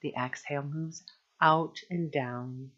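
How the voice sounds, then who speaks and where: A woman guiding a meditation as a teacher, speaking gently and soothingly in measured phrases with long pauses. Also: deep voice, steady tone, muffled recording. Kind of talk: guided meditation narration.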